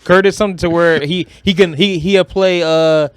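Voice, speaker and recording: A man's voice: high voice, fairly even intonation, moderate pace, smooth, no echo. A young American man from Detroit co-hosting a podcast, talking movies casually in a slangy, profane, emphatic way. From Detroit, United States. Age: young adult. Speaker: podcast co-host.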